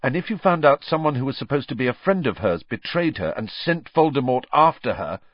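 A man's voice: on the low side, very animated, normal speed, dry recording, dull audio, pitched above the performer's own voice. A man voicing a brooding, haunted teenage boy who speaks tightly and intensely.

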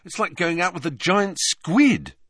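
A glum teenage boy from a storybook voiced by a man, with animated swings in pitch. He is casual, lazy and given to grumbling, in a dry, close recording. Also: a little slow, breathy edge, no echo, exaggerated voice. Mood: gloomy, exasperated.

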